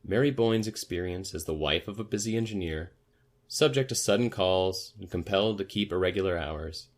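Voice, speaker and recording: A man with a low-pitched voice reads literary fiction aloud. He delivers it as scripted audiobook narration, in the role of storyteller. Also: normal speed.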